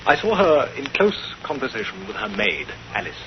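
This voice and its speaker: An actor playing a haughty, wounded aristocrat with an English accent, speaking in a stiff, halting, pompous way in a 1930s radio drama. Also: male voice, lowish voice, sing-song. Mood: defensive. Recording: noisy, muffled 1930s radio recording.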